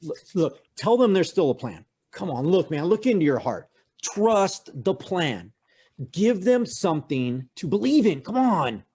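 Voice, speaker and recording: Man acting a husky, desperate, menacing fanatic. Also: high voice, big pitch swings, a little slow, darkish tone, heavily acted. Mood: desperate pleading. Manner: pleading, threatening, intense. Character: desperate, menacing male conspiracy-movement true believer. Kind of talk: virtual one-act play acting.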